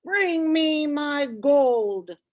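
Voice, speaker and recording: Lady doing a big mean hungry storybook giant, roaring slow and demanding. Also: high-pitched, animated, muffled recording, voice pushed up, full character voice.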